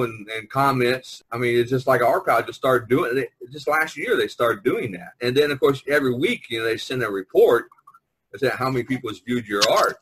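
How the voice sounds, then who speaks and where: Husky-voiced man chatting casually. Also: mid-range voice, fairly bright tone, poor audio. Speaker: podcast host. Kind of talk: casual group video-call chat. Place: online video conference.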